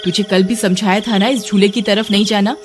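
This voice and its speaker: Casting: woman as exasperated village scold, mid-pitched, melodramatic cartoon delivery over music. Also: fairly even intonation, brisk, close to an everyday voice. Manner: nagging, exclamatory.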